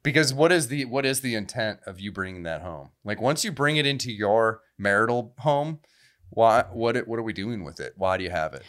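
A man's voice: on the low side, animated, moderate pace, fairly bright tone. A man co-hosting a podcast, bantering casually in everyday conversational speech. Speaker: podcast co-host.

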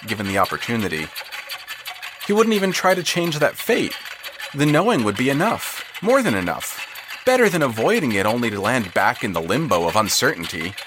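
A man's voice: mid-range voice, very animated, normal speed, background noise. A man narrating scripted literary fiction in a dramatic, wry storytelling manner. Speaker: narrator of an audio fiction podcast.

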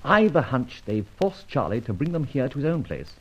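Dashing adventurer with animated delivery. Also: male voice, medium pitch, normal speed, husky, muffled recording. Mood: brisk, confiding. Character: dashing gentleman adventurer, cool, wry, self-assured. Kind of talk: 1940s-50s radio adventure serial acting, first-person narration and dialogue.

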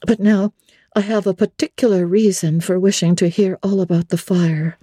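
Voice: medium pitch, fairly even intonation, moderate pace, dark tone, no room sound, clean studio sound, pitched above the performer's own voice, lightly acted. A reader voicing an earnest young woman who presses eagerly with questions, in an audiobook. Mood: urgent. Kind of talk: audiobook character reading.

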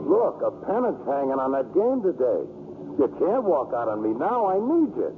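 Man acting a gruff, hot-tempered ball club manager. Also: mid-range voice, sing-song, normal speed, natural voice. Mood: desperate, pleading. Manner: blunt, slangy. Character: gruff, hot-tempered baseball team manager, pleading and grumbling. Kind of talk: 1950s radio acting. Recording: noisy, tinny 1950s radio broadcast.

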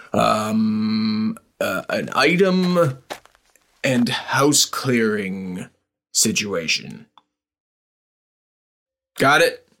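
A man's voice: mid-range voice, fairly bright tone. A man voicing a condescending male cult raider who stumbles over his words, over faint music. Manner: condescending, hesitant restarts.

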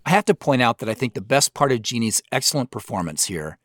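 A man's voice: mid-range voice, very animated, quick pace, no room sound, clean studio sound. An American man giving a scripted behind-the-scenes commentary as a show's creator, explaining things conversationally and speaking directly to listeners.

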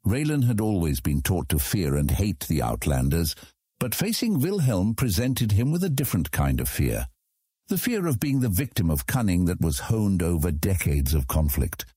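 A man's voice: sits low, sing-song, moderate pace, mellow, husky, dry recording, studio quality. A man narrating a fantasy audiobook from a script as the storyteller.

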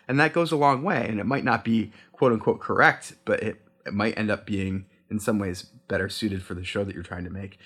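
A man talking casually as a podcast guest about sound design, rambling a little with many restarts. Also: mid-range voice. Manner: relaxed, rambling with frequent restarts. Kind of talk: casual chat.

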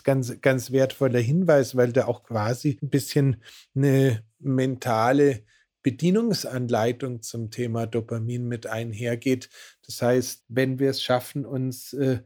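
A man's voice: medium pitch, mellow. A man explaining science on a podcast in long, winding sentences full of fillers. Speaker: biohacking expert guest.

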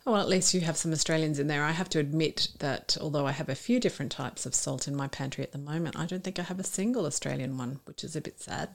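A woman's voice: low voice, fast talker, gravelly, hardly any pauses. A woman hosting a podcast, presenting and asking questions in a conversational style. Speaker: podcast host.

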